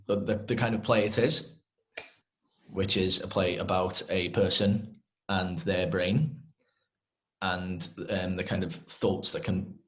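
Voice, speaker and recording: Welsh guy w a lowish voice, kinda hesitant, chatting through a q&a. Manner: hesitant, halting. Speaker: interviewer and interpreter. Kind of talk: informal Q&A, summarising a conversation.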